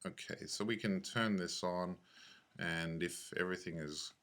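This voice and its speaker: Very low-pitched, sharp-edged man, offhand tutor. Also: measured pace. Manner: laid-back, offhand, slangy. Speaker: software tutorial instructor. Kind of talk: casual screencast walkthrough, thinking aloud.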